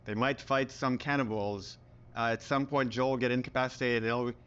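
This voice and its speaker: A man addresses his studio team in a relaxed, chatty way with a mid-range voice. The sound is dull and lo-fi, like documentary audio played back. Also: medium pitch, a little fast, clear, resonant tone. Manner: casual, chatty, with fillers. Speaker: game director presenting to a team. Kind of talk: studio presentation.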